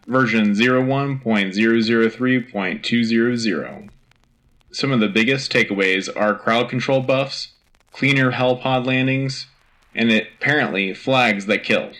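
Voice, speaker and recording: An earnest military newscaster, played by a man, with a mid-pitched, bright and forceful voice. He delivers scripted war bulletins in a crisp, patriotic, official manner. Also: natural voice. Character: earnest, patriotic male wartime radio news anchor. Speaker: radio host.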